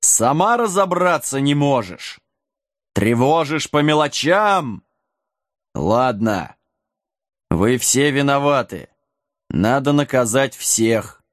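A man playing a huge, ancient dark god in a fantasy audiobook, brooding and imperious, with a slow drawl. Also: medium pitch, very animated, no room sound, studio quality. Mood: menacing. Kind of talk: audiobook character acting.

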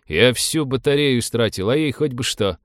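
A man's voice: mid-range voice, animated, normal speed, no echo, studio quality, voice pushed up, natural voice. A man voicing a fearful, defensive young navigator who whines plaintively in an audiobook. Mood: hurt, whining. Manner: plaintive, whining, self-justifying.

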